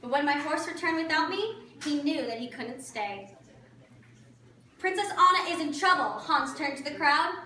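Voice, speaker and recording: A woman's voice: high-pitched, expressive, moderate pace, bright, forceful tone, a little hiss or hum. A woman playing an earnest, romantic young princess, narrating her adventure expressively as a story for children. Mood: storytelling. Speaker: costumed storyteller performer. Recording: distant, echoey room with audience chatter.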